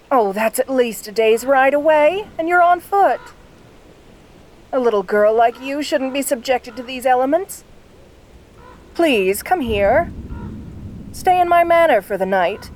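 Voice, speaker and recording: Vampire countess, played by a woman for ASMR roleplay: higher-pitched female voice, genteel, seductive, assertive, doting. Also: a little hiss or hum. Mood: urgent, commanding. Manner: genteel, doting, uses pet names. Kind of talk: ASMR roleplay addressed to the listener.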